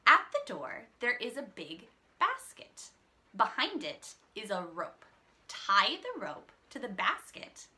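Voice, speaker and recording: A woman's voice: medium pitch, wildly swooping pitch, takes it slowly, pushed and bright, exaggerated voice. A woman voicing a clever eldest girl in a children's storybook, sweetly coaxing and pleading with feigned innocence. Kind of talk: children's storybook read-aloud.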